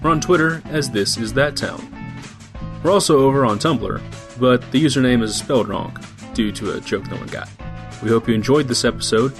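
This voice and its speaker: A man's voice: mid-range voice, normal speed. Male credits announcer over guitar music. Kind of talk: scripted narration.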